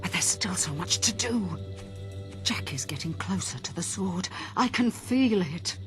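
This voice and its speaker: An actress playing a knowing, urgent guide in a fantasy video game, speaking earnestly. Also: female voice, lowish voice, animated, unhurried, over music. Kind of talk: video game drama acting.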